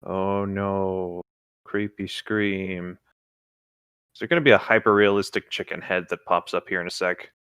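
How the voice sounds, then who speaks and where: Slightly low-voiced man, close dry sound. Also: fairly even intonation, measured pace, a bit bright, smooth, no room sound. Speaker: co-host reading internet horror stories aloud. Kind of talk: casual story read-along with joking asides.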